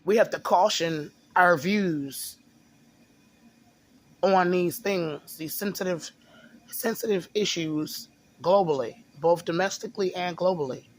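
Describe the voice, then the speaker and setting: Guy, bit high, mildly sore throat; halting, repetitive delivery, lots of fillers. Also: rough recording. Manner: halting, repeats words, many fillers. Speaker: video blogger. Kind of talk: casual monologue to camera.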